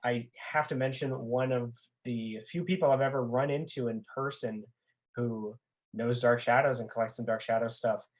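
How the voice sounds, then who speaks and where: Halting, earnest podcast guest in casual chat. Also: mid-range voice, fairly even intonation, poor audio.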